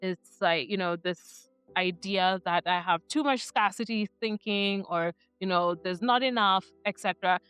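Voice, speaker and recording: Earnest woman vlogger with a smooth voice. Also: medium pitch, unhurried, hardly any pauses, a bit bright, no echo, soft background music. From Kenya. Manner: earnest, many restarts and "right?". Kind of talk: reflective personal talk to camera.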